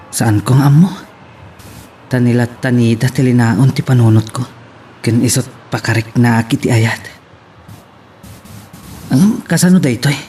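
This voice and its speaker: A lovelorn young man, played by an actor in a romance audio drama. His voice sits at a middle pitch. Soft music runs faintly behind him. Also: lightly acted. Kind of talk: Ilocano radio-style love drama acting.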